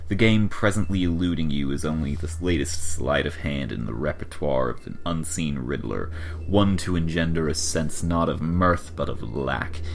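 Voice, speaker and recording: A man's voice: extremely low pitch, gravelly, slight background noise. A man giving a dramatic, mock-serious scripted narration as the narrator of a comic adventure. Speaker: narrator of a fan comic dub.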